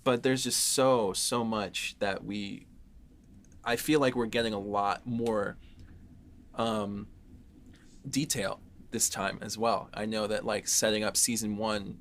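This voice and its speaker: Lower-voiced man on a podcast panel. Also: a bit bright. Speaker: podcast co-host and series writer. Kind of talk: casual panel chat.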